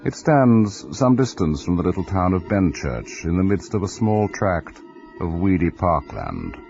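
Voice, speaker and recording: An actor playing a macabre storyteller host, narrating radio horror with ominous, theatrical deliberation. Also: male voice, low-pitched, very animated, a little slow, gravelly, darkish tone, lo-fi sound, faint music behind, full character voice.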